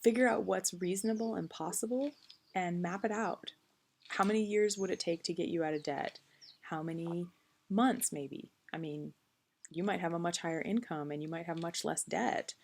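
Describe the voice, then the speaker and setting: Reflective woman in her thirties, gravelly voice. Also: lowish voice, moderate pace, darkish tone. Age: 30s. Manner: earnest and reflective, frequent ums. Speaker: vlogger. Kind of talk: casual talk to camera.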